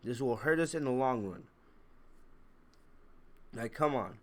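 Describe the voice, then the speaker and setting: A man's voice: mid-range voice, lively intonation, a little slow. Guy with a stuffy cold doing a live wrestling review, chatty, opinionated, kinda blunt. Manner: opinionated, blunt, emphatic, mildly profane. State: congested, sick with a cold. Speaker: wrestling review livestream host. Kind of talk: casual live commentary, chatting to viewers.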